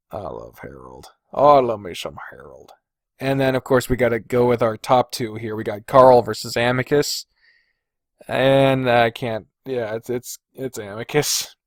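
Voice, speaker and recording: A man's voice: mid-range voice, dry recording, clean studio sound. A man hosting a casual podcast on his own, thinking aloud in a hesitant, deliberating way and swearing freely. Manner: hesitant and deliberating, sighing, profane. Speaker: podcast host.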